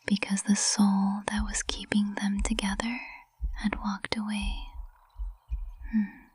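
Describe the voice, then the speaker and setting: A woman's voice: mid-range voice, completely flat delivery, slightly rough. A woman playing a lovestruck witch professor in an intimate ASMR roleplay, whispering tenderly and breathily, close to the microphone. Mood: intense devotion. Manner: slow, tender, intimate delivery. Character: lovestruck high witch professor, usually cold and composed, tender.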